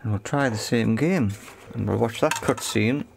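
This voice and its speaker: A man's voice: medium pitch, normal speed, vocal fry, background noise. A man giving casual, unscripted commentary on a hands-on repair, thinking aloud in short phrases. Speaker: host of an electronics repair video.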